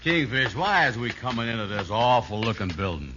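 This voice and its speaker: An actor playing a slow-witted, doubtful sidekick with folksy grammar in a 1950s radio comedy. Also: male voice, mid-range voice, sing-song, music in the background, close to an everyday voice. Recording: muffled old radio recording.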